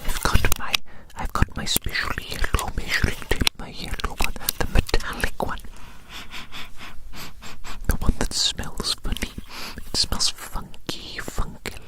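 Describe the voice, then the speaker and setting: Someone performing a whispered ASMR medical roleplay as a doctor, breathy and unvoiced, rambling playfully and repeating phrases. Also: unhurried.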